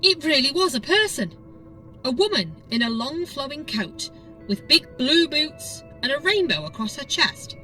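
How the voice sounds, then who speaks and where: A woman reads an audiobook story with dramatic delivery. Her voice is fairly high and bright, with very animated pitch movement. Music sits under the narration. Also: a little slow, far from the mic.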